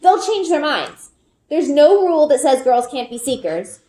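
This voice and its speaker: A woman's voice: high-pitched, big pitch swings, normal speed, warm tone, pitched above the performer's own voice. A woman voicing an eager, stubborn young girl in a children's storybook, speaking earnestly and insistently. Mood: determined. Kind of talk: children's storybook read-aloud. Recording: roomy indoor echo.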